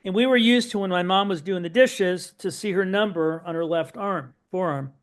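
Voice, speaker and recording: Middle-aged man, slightly high voice, animated storyteller, podcast guest. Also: moderate pace. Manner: lively anecdotal storytelling with frequent asides. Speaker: podcast guest, son of Holocaust survivors. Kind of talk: storytelling in an interview.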